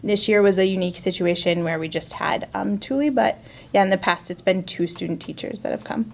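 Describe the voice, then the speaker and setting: A woman who teaches third grade, answering interview questions in a measured, matter-of-fact way. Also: medium pitch, gravelly.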